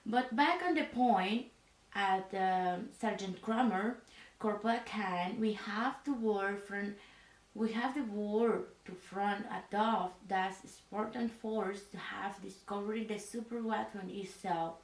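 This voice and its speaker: A woman voicing a female resistance leader in pajamas, speaking softly and haltingly, with many hesitant fillers, in an ASMR roleplay. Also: medium pitch, echoey room, recorded from a distance, poor audio. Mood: earnest. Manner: halting, slow, with hesitant fillers and a foreign-sounding phrasing. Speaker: leader of a sleeper resistance unit. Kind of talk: soft-spoken ASMR roleplay.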